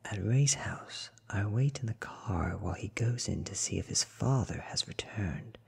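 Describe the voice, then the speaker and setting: A man in his thirties reads a story for ASMR, very close to the mic. His voice is low and extremely breathy, slipping between soft speech and whisper. The pace is slow and deliberate, with a crackle of fry. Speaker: ASMR book reader. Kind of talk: soft-spoken whispered ASMR audiobook reading. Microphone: close microphone in front of him.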